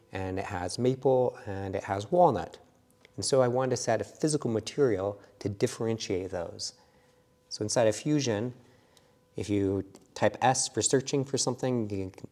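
A middle-aged man in his workshop with a lapel mic, calmly talking through a woodworking design software tutorial with casual fillers. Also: lowish voice. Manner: relaxed, explanatory, with frequent fillers. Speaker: woodworking instructor. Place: wood workshop indoors.

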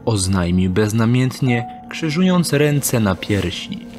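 A man narrating an audio drama in a measured, descriptive way. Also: mid-range voice, lively intonation, music in the background.

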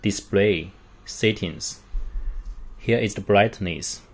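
Slow-talking man demonstrating a product. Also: on the low side, husky. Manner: halting, short phrases with non-native English grammar. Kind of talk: unscripted product walkthrough.

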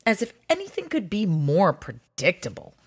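A woman's voice: high-pitched, big pitch swings, sharp tone, heavily acted. A woman voicing a grumpy, exasperated child who hates reading, melodramatic and complaining, in a storybook read-aloud. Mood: weary, reluctant. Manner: melodramatic, complaining, drawn-out emphasis.

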